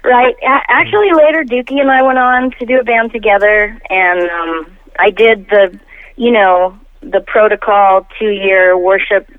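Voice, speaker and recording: A woman's voice: medium pitch, steady tone, measured pace. A middle-aged woman chatting casually and reflectively as an interview guest, in an easygoing conversational way. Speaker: podcast guest, musician. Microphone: phone call. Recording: thin, narrow telephone line.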